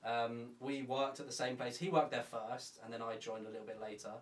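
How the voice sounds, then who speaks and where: A man's voice: mid-range voice, level tone, fairly quick, far from the mic. Chatty young man on a roomy podcast. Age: young adult. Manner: chatty, self-mocking, rambling with many fillers. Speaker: podcast co-host. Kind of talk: casual chat. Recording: roomy, from off-mic.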